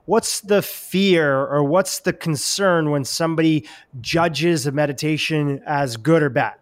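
A man's voice: fairly high pitch, unhurried. A man hosting a podcast, asking thoughtful, probing questions in a casual conversation.

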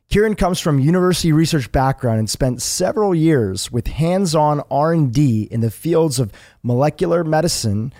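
Measured male podcast host, dry sound. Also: mid-range voice, darkish tone, dry recording. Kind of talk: scripted guest introduction and interview questions.

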